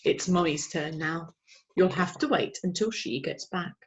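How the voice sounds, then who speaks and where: A woman voicing a dignified, patient father barn owl who talks in a measured, matter-of-fact way. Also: lowish voice, lightly acted, poor audio. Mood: dry.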